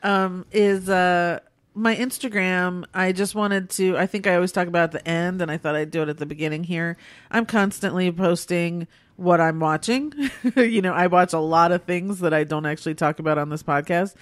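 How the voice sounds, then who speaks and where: A woman's voice: lowish voice, fairly even intonation, normal speed, dry recording. A sick woman with a nasal, congested voice, co-hosting a casual podcast and rambling chattily through movie reviews. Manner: chatty, rambling, laughs often. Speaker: podcast co-host.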